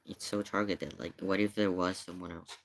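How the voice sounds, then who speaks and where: Low, creaky-voiced man chatting casually. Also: little pitch movement, normal speed, slight background noise. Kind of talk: casual reaction commentary.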